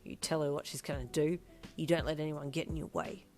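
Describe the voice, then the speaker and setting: A woman voicing a loud, hot-tempered father who hollers and mocks. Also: low-pitched, fairly even intonation, a little fast, soft background music, close to an everyday voice. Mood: brash. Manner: hollering, brash.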